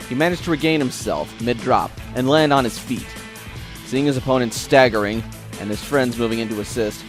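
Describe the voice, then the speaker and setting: Guy narrating an audio story, theatrical delivery, mid pitch, faint music under him. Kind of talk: scripted dramatic story narration.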